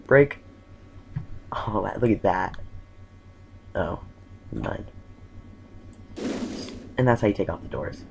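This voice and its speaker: A man's voice: lowish voice, big pitch swings, slow pace, creaky, long pauses, background noise. A man casually commentating his own gameplay, exclaiming at crashes and making self-mocking asides. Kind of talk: casual let's-play commentary.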